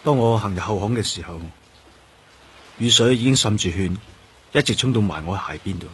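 A man's voice: fairly low pitch, a little fast, slight background noise, lightly acted. A man acting in a Cantonese radio drama with a Hong Kong accent, playing a grief-stricken police detective who also narrates. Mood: numb, sorrowful. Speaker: actor.

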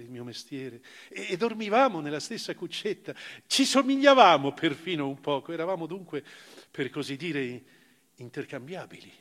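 Actor as grave former prisoner in reverberant hall. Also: male voice, on the high side, fairly bright tone. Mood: hushed, sombre. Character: former camp prisoner recounting his past, grave and reflective. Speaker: actor reading a literary memoir. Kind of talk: dramatic literary narration, live stage reading for radio. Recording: long reverberant hall, music present. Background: live music.

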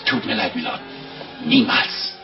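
An actor playing an anxious, wily monk in 1950s German radio drama, stammering, exclaiming and repeating himself. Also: male voice, a little slow, close to an everyday voice. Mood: indignant refusal. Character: anxious, wily male monk fearing for his life. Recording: muffled vintage radio recording with music.

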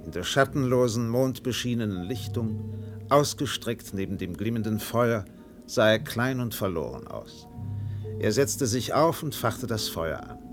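Radio play narrator: grave literary storytelling, highly varied pitch, light music bed underneath. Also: male voice. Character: grave male literary narrator of a tropical tale.

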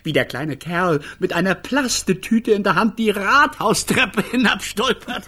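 A gloating townsman sneers in a radio play. His husky voice sits high and bright, moving in lively, derisive swings at an unhurried pace. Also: heavily acted. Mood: gloating derision. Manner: derisive, chuckling through words.

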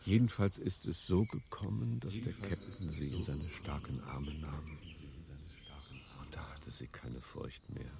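An actor playing an insistent, seductive sea captain in a radio play, with theatrical, coaxing delivery that can turn menacing. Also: male voice, extremely low pitch, unhurried, creaky, very noisy, heavily acted. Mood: storytelling. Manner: theatrical, coaxing, abrupt shifts between whispers and shouts. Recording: music behind the voice.

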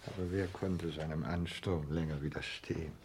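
An actor playing a self-important, calculating social climber, delivering a declamatory, brooding monologue in a 1960s German radio play. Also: male voice, rumbling low, steady tone, moderate pace, a little mellow, slight background noise.

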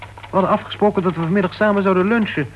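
A man's voice: high-pitched, steady tone, quick pace, lightly acted. An actor playing a well-meaning, persistent clubman in a Dutch radio play, questioning and coaxing like a concerned friend. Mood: coaxing, reproachful. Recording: dull old radio recording, faint noise.

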